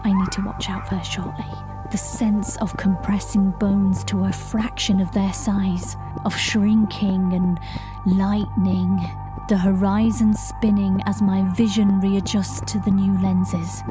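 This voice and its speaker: An actress playing a haunted folk singer who shapeshifts, narrating her story in a measured, lyrical, reflective way in an audio drama. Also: mid-range voice, level tone, music in the background, natural voice. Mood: breathless, intense. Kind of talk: audio drama monologue, first-person narration.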